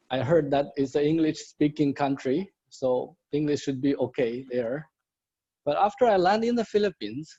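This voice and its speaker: A man from China, telling a personal story in a slow, deliberate prepared club speech over a video call. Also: a little high, warm tone, breathy edge. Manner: slow, deliberate, with simple plain phrasing. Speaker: prepared speaker. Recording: muffled lo-fi audio.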